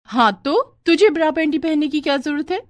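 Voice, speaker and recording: A woman's voice: sits high, a little fast, no echo, voice pushed up, lightly acted. A woman voicing a spoiled, haughty young woman in a story, bossy and disdainful. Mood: scornful. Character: spoiled, haughty young woman, scornful of her poor cousin.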